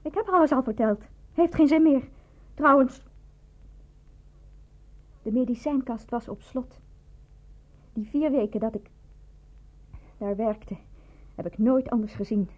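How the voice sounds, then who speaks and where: An actress in a Dutch radio play, playing a bitter, defensive woman who is being questioned, with a husky, dramatic delivery. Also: fairly high pitch, measured pace, long pauses. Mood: agitated. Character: bitter, evasive woman under questioning about a poisoning. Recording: dull, lo-fi radio play recording.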